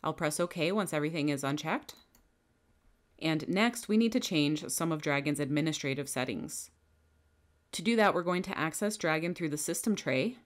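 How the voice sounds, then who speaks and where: Low-voiced woman calmly guiding software steps. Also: normal speed. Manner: calm, methodical step-by-step instructions. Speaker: software trainer. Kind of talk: scripted screen-recording tutorial. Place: voice-over over a screen recording.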